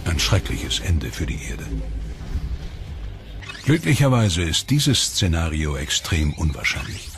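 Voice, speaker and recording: Low-voiced man narrating a documentary. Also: sing-song, a bit bright. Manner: measured, grave and dramatic delivery. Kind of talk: scripted documentary voice-over. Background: music under the voice.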